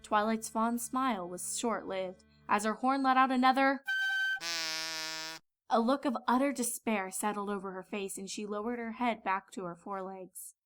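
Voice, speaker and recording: A woman's voice: a little high, normal speed, fairly bright tone. A woman reading scripted storybook narration as the narrator. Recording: music behind the voice.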